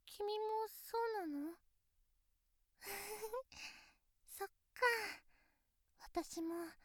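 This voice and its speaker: A very high, girlish voice as a shy schoolgirl girlfriend. She speaks slowly and hesitantly, with a gentle devotion suited to a bedside roleplay. The recording is dry and intimate. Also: dry recording, exaggerated voice. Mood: shy, earnest. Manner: gentle, hesitant, stammering when embarrassed, drawn-out delivery. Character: shy, devoted teenage schoolgirl girlfriend, soft and easily flustered. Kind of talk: Japanese situation voice drama, intimate bedside roleplay for relaxation.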